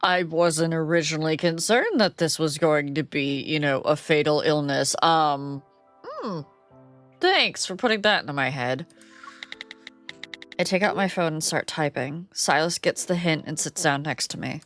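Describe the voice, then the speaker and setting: Low-voiced woman streamer reading a game. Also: lively intonation, unhurried, fairly bright tone, soft background music. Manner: playful, giggly commentary. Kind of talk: reading a game aloud with reactions.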